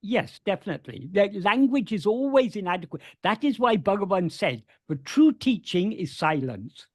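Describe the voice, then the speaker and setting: A man explains a spiritual teaching over a video call in an earnest, searching way, often repeating words before going on. His voice sits high and swings widely in pitch. The sound is dry and close. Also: very animated, normal speed, darkish tone, no echo. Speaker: spiritual teacher answering questions.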